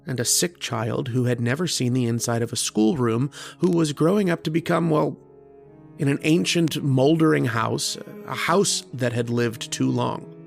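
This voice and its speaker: A lonely, bookish mathematician played by a man in an old-time radio horror drama. His voice has a gravelly creak and his wording is literate and earnest, brooding and uneasy, with faint music. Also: medium pitch. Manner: literate, deliberate, introspective, with hesitant starts. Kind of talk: old-time radio drama acting with first-person narration.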